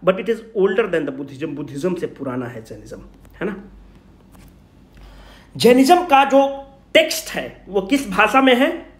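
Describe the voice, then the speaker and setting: High-pitched, animated man teaching. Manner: asks questions and answers them himself, colloquial. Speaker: teacher giving an exam revision lecture. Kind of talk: informal Hindi-English classroom lecture. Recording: echoey room.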